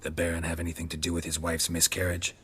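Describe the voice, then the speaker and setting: An actor playing a gruff, skeptical male monster hunter who speaks in a dry, curt way in a video game cutscene. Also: low voice, completely flat delivery, quick pace, bright, forceful tone, heavily acted.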